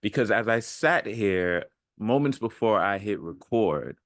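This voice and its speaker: A man's voice: fairly low pitch, expressive, measured pace, a bit bright, no echo. A man in his thirties giving an off-the-cuff, informal motivational monologue, slangy and leaning on emphatic repetition, recorded close on a large microphone. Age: 30s. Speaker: self-improvement content creator.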